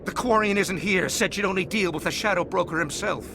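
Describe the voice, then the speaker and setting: A man's voice: high voice, fairly quick, vocal fry, background noise. An actor voicing a cowardly male crook in a video game, panicky and pleading, stammering as he begs. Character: cowardly male warehouse worker and crime boss. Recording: game audio with loud score behind.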